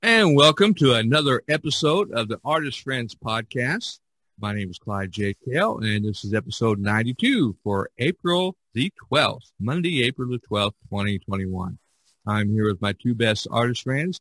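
An American man hosting a podcast casually, often pausing in the middle of his phrases. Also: mid-range voice, very animated, fairly bright tone, low-quality recording. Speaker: podcast host.

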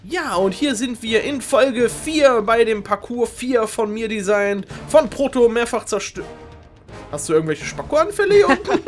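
A man's voice: sits high, fairly bright tone. Playful male game show host, exclamatory. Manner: joking, exclamatory, theatrical.

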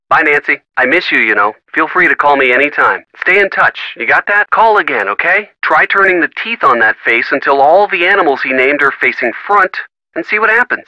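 A man's voice: on the high side, sharp tone, no room sound, rough recording. An actor voicing a helpful, wry young man giving hints in a video game. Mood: friendly, affectionate.

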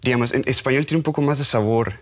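Guest scientist, male, 30s, Honduran with American tinge, English-native, studio lapel mic, halting. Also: medium pitch. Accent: Honduran Spanish with American English. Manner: halting, restarts words, gestures while talking. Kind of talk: studio interview.

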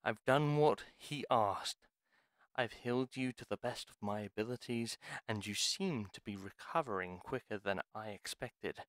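A man plays a bitter fantasy healer with a stern, formal way of speaking. His voice is low and measured in pace, recorded close and dry, as in an audio roleplay. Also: a bit bright, dry recording. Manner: stern, formal, clipped phrases. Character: bitter, curt male pharmacist healer in fantasy cottage.

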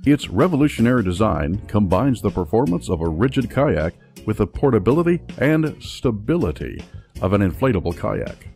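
A man reading a scripted product ad voice-over in a smooth, salesy way. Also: on the low side, very animated, moderate pace, darkish tone, faint music behind. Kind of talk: scripted ad narration.

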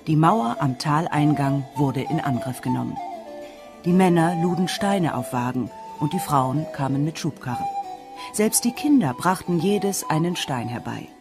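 A woman's voice: low voice, a little mellow. A woman narrating a folk tale as a scripted audiobook. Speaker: storyteller.

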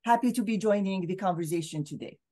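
A woman's voice: medium pitch, fast, mellow. Earnest woman from Syria explaining. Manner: earnest, explanatory, frequent fillers. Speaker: guest scholar. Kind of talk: video call interview. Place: home room with bookshelves. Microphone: video call.

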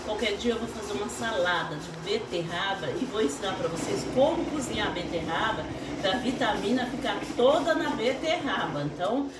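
A woman in her fifties hosting a casual home cooking live stream, chatty and quick to laugh. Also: medium pitch, expressive, reverberant, very noisy. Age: 50s. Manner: chatty, laughs a lot, frequent "né, ó". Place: home kitchen, indoors. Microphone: phone camera.

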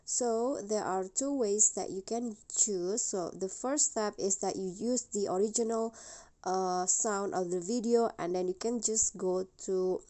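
A woman with a very soft-edged, mellow voice at a medium pitch hosts a casual how-to voice-over. She explains things step by step, with a slightly hesitant, halting delivery. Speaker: tutorial host.

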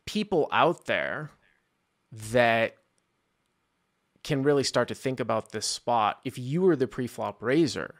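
A man with a creaky, fry-laden voice at an average pitch hosts and coaches in a casual, analytical way. He thinks aloud and speaks informally, close to a studio microphone. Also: expressive, slow pace, long pauses. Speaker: poker call-in show host and coach. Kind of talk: casual analytical poker discussion. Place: small indoor studio. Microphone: close desktop studio microphone, headset on.

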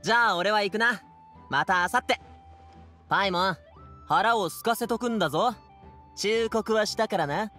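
A man's voice: high-pitched, normal speed, pauses often, bright, forceful tone, faint music behind. A man voicing a cheerful, easygoing teenage courier boy with a casual, eager manner in an anime game. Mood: friendly.

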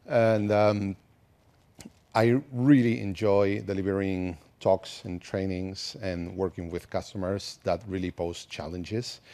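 Argentine man giving a relaxed tech talk. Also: low-pitched. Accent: Argentine Spanish. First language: Spanish. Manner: relaxed, conversational, with many restarts. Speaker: conference speaker. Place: conference hall, indoors.

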